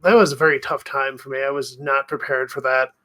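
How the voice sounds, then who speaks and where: A man's voice: medium pitch, fairly even intonation, brisk, pushed and bright. A man in his thirties chatting casually as a podcast co-host. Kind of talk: casual chat.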